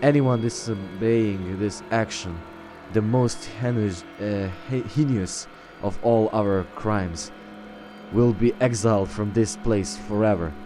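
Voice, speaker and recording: A man's voice: lowish voice, slow, music in the background. A man reading game text aloud and role-playing, often stumbling over his words. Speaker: let's-play roleplay host.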